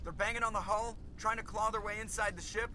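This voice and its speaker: An anxious, piercingly bright starship pilot. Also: male voice, high-pitched, steady tone, quick pace, a little hiss or hum. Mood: urgent, worried. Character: anxious young male starship pilot, wry, urgent. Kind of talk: video game radio dialogue.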